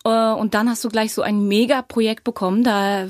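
A woman's voice: a little high, level tone, moderate pace, dry recording, clean studio sound. Chatty woman interviewer. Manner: chatty, encouraging questions. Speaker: interviewer, host. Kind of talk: casual interview.

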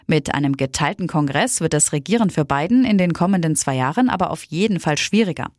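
A woman reading a news bulletin in an even, matter-of-fact way. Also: sits low, fast talker, dry recording, studio quality. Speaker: news reader.

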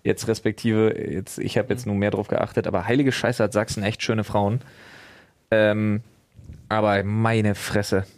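A man's voice: lowish voice, flat delivery, unhurried, darkish tone. A man in a casual podcast chat, blunt and crude, swearing a lot.

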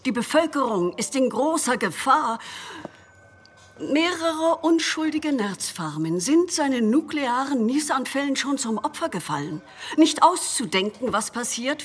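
High-voiced, stately female president. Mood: grave, alarmed. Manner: stately, emphatic, pathos-laden oratory. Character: solemn female president of an alien planet, rallying her citizens. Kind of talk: comedic sci-fi audio drama acting. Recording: long echoing reverb, clearly noisy ambience behind.

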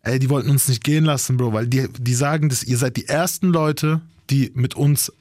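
Man, casual chat, rambling, slangy, middle pitch, dry close sound. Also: fairly even intonation, brisk, a little mellow, no room sound. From Germany. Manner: slangy, rambling, says "Bro" often. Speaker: rapper.

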